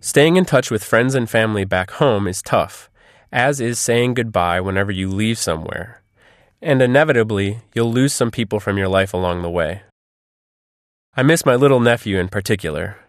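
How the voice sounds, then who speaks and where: A man's voice: lowish voice, moderate pace, no room sound, studio quality. A man reading scripted answers as the guest in an interview.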